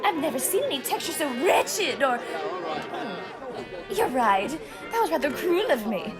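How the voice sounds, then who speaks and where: A woman's voice: high voice, very animated, a little slow. A woman playing a flighty, self-absorbed young socialite in a radio play, speaking in a theatrical, affected gush. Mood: haughty, airy. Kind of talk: old-time radio play acting. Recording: party crowd and music behind.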